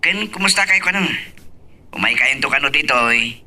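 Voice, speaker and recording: A man's voice: on the high side, expressive, fairly quick, bright, forceful tone, distant mic, exaggerated voice. A man playing a dutiful, reassuring grown son in an audio love drama, speaking conversationally with an Ilocano accent. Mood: respectful, easygoing. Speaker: voice actor.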